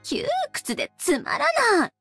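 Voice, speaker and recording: A woman's voice: quick pace, close to an everyday voice. An actress voicing a haughty, cruel witch who sneers and gives orders imperiously. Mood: petulant. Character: haughty cruel female witch, bored and capricious. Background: game music.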